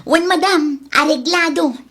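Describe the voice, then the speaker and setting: A woman's voice: sits high, a little slow, pushed and bright. A Filipino-accented woman playing an eager, flirtatious housemaid in a comedy drama, giggly and coy. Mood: eager, deferential. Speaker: actor.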